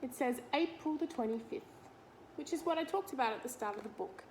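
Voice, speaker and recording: A woman's voice: sits high, lively intonation, fairly quick, a little mellow, slight background noise. A woman reading a picture book aloud to children, explaining it simply and putting questions to the listener. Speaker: read-aloud teacher.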